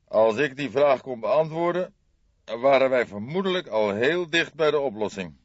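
Man voicing a measured, wry older detective. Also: mid-range voice, lively intonation, no echo, dull audio, pitched above the performer's own voice, close to an everyday voice. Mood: pensive. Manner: thoughtful, measured, dryly teasing. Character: grey-haired veteran male detective, deliberate, wryly jovial. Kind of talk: audiobook character acting.

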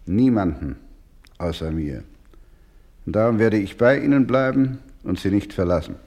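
A steadfast older doctor in a radio drama, his voice set low. He speaks with solemn, formal care, repeating phrases deliberately, gentle yet immovable. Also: lively intonation, highs cut off. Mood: solemn resolve. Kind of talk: German radio play acting.

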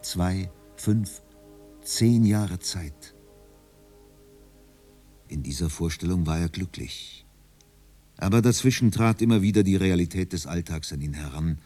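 A man narrating a literary radio drama as a reflective storyteller, in a measured, contemplative way. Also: low voice, mellow, soft background music. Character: reflective male storyteller of a dreamy novel.

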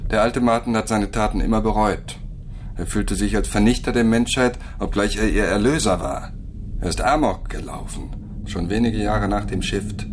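Man playing a low-voiced, measured machine overseer over rumble. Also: natural voice. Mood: grave, measured. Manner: measured, explanatory, matter-of-fact. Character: calm machine supervisor, posthuman overseer of a digital world. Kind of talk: radio drama dialogue.

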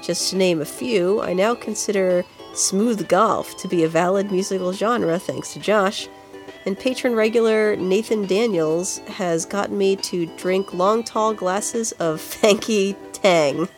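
A woman's voice: medium pitch, measured pace, soft background music. A woman with a congested, nasal voice co-hosting a podcast in a casual, chatty style. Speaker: podcast co-host. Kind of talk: casual video game music podcast chat.

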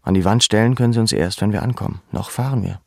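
A man playing a camp survivor who recalls his deportation in measured, sober, reflective first-person narration. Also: on the low side, vocal fry, a little mellow, no room sound, studio quality. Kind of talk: literary first-person narration of an audio drama.

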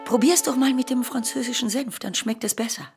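A woman's voice: fairly high pitch, brisk, music in the background, natural voice. A woman playing an ailing, tender, clinging mother in a radio drama, often close to whispering. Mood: fond. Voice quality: breathy, often near whispering. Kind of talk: German radio drama acting.

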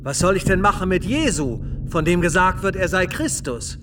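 A Roman governor with a high, very lively voice. He declaims and questions as in a radio play, a little away from the microphone, with music clearly behind him. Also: moderate pace, distant mic, noisy background. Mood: questioning. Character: Pontius Pilate, Roman governor questioning a crowd. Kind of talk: dramatic radio play dialogue.

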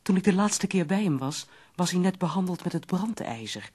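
An actress playing an earnest, concerned visitor, speaking in formal literary phrases in a radio drama. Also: female voice, fairly low pitch, expressive, normal speed, a little mellow, husky. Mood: grave, narrating. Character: earnest, concerned visitor of a sick poet, recounting memories. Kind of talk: radio drama acting and narration.

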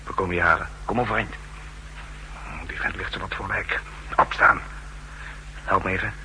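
Low, sharp, terse jailbreak agent. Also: male voice, fairly even intonation, moderate pace. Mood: urgent, conspiratorial. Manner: terse, hushed urgency, bossy. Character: gruff, brisk male agent running a jailbreak. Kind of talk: 1960s Dutch radio drama acting. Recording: noisy humming 1960s radio recording.

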